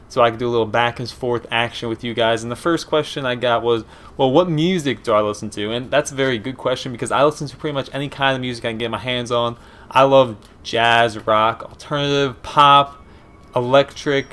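A man's voice: mid-range voice, a bit bright. Easygoing young man with a Pennsylvania accent. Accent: Philadelphia and Eastern Pennsylvania. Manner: rambling, easygoing, many fillers. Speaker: gaming commentator. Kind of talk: casual Q and A over gameplay. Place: small room at home, indoors.

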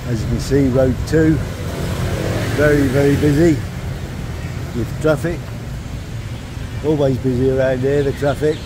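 An older man, narrating a relaxed, chatty walking vlog outdoors on a city street. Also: mid-range voice, a little slow, pauses often. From England. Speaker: vlogger. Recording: street traffic noise. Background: traffic noise.

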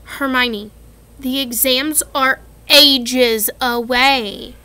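A woman's voice: high voice, steady tone, very slow, pushed and bright, clear, resonant tone, exaggerated voice. Ron, a woman doing a cheeky schoolboy. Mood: grumbling. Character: lazy, cheeky schoolboy wizard.

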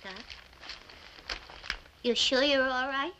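A woman's voice: high voice, steady tone, takes it slowly, pushed and bright, highs cut off, slight background noise, exaggerated voice. An actress playing a meek, anxious middle-aged housewife who pleads desperately with her drunken husband in a film scene. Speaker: wife.

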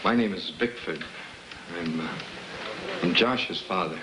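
A man's voice: low voice, a little slow. An actor playing a troubled, earnest middle-aged American father in a 1950s film drama, speaking hesitantly. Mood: awkward, sympathetic. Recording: noisy old film soundtrack.

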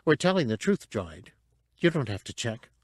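A man voicing a small, excitable female rodent-like thief in an eager, squeaky manner. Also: moderate pace, breathy edge, no echo, studio quality. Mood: eager, urgent.

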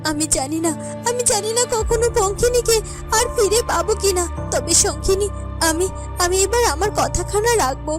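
Cartoon serpent heroine, female actor: high voice, young, tender, earnest, melodramatic, music underneath. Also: normal speed. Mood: sad, resolute. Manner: tender, earnest, repeats phrases for emphasis. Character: kind young shape-shifting serpent woman, earnest heroine. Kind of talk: fairy-tale cartoon voice acting.

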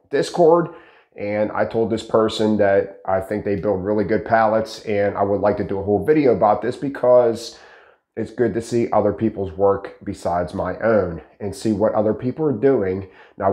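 A man in his thirties hosting a casual instructional talk to camera, his voice slightly raspy from shouting, asking rhetorical questions as he explains. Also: medium pitch, reverberant. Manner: animated, gestures a lot, asks rhetorical questions. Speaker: host teaching pallet building. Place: dark studio with green screen, indoors. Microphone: lapel mic.

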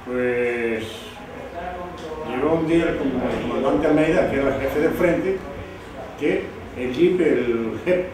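A man's voice: on the high side, takes it slowly, long pauses, over music. Emphatic older man, echoey distant sound. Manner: emphatic, repeating for stress. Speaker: former rebel soldier interviewed. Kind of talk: recollecting in an interview. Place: living room, indoors. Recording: very echoey room, far mic, some hiss.

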